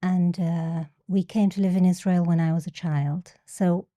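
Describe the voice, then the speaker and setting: A middle-aged woman with an English accent, speaking as a guest in a podcast interview. Her voice is mellow and dark, recorded dry and close, and she talks hesitantly, repeating words as she finds them. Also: fairly low pitch, fairly even intonation, a little slow, no room sound. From England. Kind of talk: casual interview.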